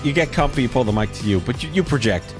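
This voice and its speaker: A man's voice: mid-range voice, lively intonation, fast talker, loud music behind the voice. A middle-aged man hosting a podcast interview, talking in a blunt, wry and casually profane way. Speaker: podcast host.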